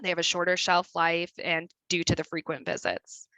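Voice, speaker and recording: A woman with a Midwestern accent walks through her slides as a dietitian, chatty and full of fillers. Her voice is bright and crisp, sitting a little low, and the sound is dry and close. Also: steady tone, normal speed, dry recording, low-quality recording. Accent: Midwestern American. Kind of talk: webinar presentation. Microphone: video call.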